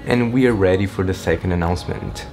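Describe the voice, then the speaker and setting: A teenage boy from Brazil, Portuguese native, chatting excitedly in a casual vlog update from his small bedroom, rambling and restarting often. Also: low voice, fast, faint music behind. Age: teens. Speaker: vlogger. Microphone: camera-mounted shotgun mic.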